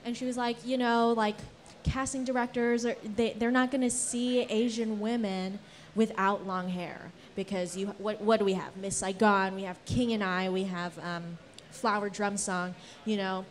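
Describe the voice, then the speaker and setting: A woman's voice: medium pitch, fairly even intonation, a little slow. Earnest young woman telling stories on a panel. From Philippines, Singapore and Guam. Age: young adult. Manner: earnest, rambling. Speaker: panelist, theater actor. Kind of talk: casual personal storytelling on a panel. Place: indoor event space with brick wall. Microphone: handheld event microphone.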